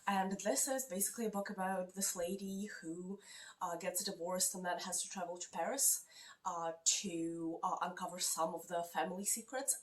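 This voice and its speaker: A woman with a non-native English accent chatting casually about books she plans to read, pausing often with fillers. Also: on the low side, far from the mic, rough recording. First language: not English. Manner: hesitant, many fillers. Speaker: book vlogger. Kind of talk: casual chat. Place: lives in Canada.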